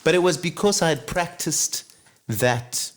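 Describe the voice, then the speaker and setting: A man, a singer talking as a guest in a relaxed, reflective interview. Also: a little high, unhurried. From Zimbabwe. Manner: reflective, earnest, many "you know" asides. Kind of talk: casual interview chat.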